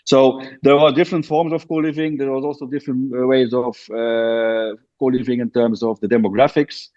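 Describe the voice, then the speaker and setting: Belgian man, podcast guest, halting and filler-heavy, average pitch, muffled lo-fi call audio. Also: little pitch movement, mellow. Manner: hesitant, many fillers and restarts. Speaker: guest, co-living founder. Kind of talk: podcast interview, explaining. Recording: dull lo-fi remote audio.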